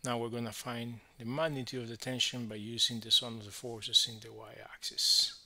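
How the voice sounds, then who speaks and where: A man teaching physics, explaining a worked problem step by step in halting phrases with frequent verbal checks. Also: medium pitch, flat delivery, gravelly, bright, forceful tone.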